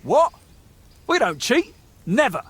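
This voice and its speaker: Medieval game rogue, young man: animated, husky delivery, shifty, blustering and colloquial, quick to defend himself. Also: extremely high pitch, exaggerated voice. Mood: defensive, indignant. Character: shifty, jittery young male rogue archer in medieval Bohemia. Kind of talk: video game dialogue acting.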